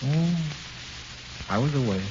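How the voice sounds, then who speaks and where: An actor playing the ghost of an executed gangster in 1940s radio horror, talking tough, streetwise slang with cool menace. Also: male voice, medium pitch, animated, a little slow, lightly acted. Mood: casual, cool. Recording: muffled hissy 1940s radio recording.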